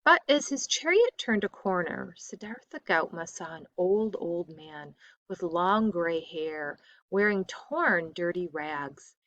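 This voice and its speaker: A woman reads a story aloud like a teacher, her slightly low voice swinging widely in pitch. She stresses key words deliberately and lets phrase endings fall soft, in a close, dry recording. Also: expressive, dry recording, lo-fi sound. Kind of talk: expressive read-aloud of a textbook story.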